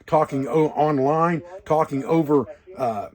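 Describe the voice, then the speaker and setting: A man's voice: fairly high pitch, unhurried, darkish tone. A man talking informally as an instructor on an outdoor preparedness vlog, explaining things in a rambling, hands-on way. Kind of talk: casual instructional vlog talk. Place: wall tent at a hunting camp.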